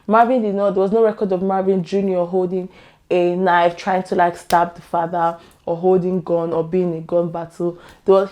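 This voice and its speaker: A woman vlogger with a hoarse, worn-out voice, talking casually and with strong opinions to her camera. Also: mid-range voice, dark tone. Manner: chatty, opinionated, laughs often. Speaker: YouTube vlogger.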